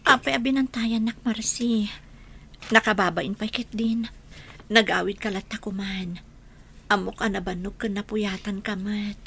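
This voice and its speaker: An actress playing a weary, grieving older widow in a radio drama. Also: female voice, medium pitch, steady tone, unhurried, creaky, long pauses, a bit bright, slightly rough, full character voice. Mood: weary, grateful. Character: grief-stricken older widow Aurelia, weary and grateful. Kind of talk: radio drama acting in Ilocano.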